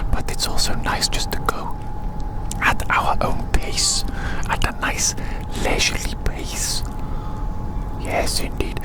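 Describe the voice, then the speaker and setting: Soft whisperer repeating phrases gently. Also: low-quality recording. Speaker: ASMR creator. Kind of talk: whispered ASMR roleplay. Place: outdoors in a city.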